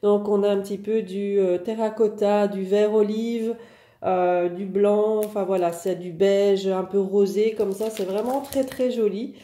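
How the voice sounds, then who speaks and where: A woman with a head cold talks through new paper collections for her online craft shop, chatty and full of fillers. Her voice is very dark and mellow. The room adds a noticeable echo. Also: medium pitch, steady tone, a little slow. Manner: chatty, many "euh" and "donc" fillers. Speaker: online craft shop owner presenting products. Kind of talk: casual product showcase.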